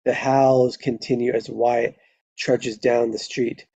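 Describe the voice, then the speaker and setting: A man's voice: mid-range voice, level tone, slow, mellow, no room sound. A man narrating a screenplay at a live table read, stumbling over words now and then and correcting himself.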